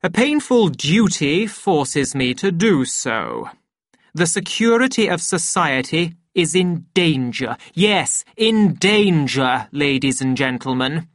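A woman's voice: deep voice, big pitch swings, slow, no echo, studio quality, pitched above the performer's own voice, heavily acted. A woman voicing a pompous, stern male director in an audiobook, speaking in a grandiose, oratorical and emphatic manner. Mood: solemn, accusing. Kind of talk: audiobook character acting.

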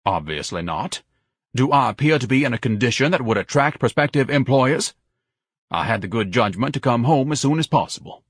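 A man's voice: mid-range voice, expressive, brisk, breathy edge, no room sound, studio quality, voice pushed up. A man voicing a haughty, self-pitying grown son who declaims in grandiose, over-articulate phrases, as an audiobook character. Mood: aggrieved, haughty.

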